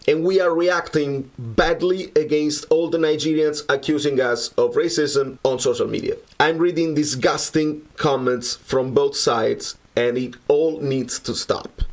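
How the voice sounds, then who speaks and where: A man from Naples with a Neapolitan Italian accent and Italian as his first language, reading an earnest, measured personal statement. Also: medium pitch. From Naples, Italy. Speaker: musician.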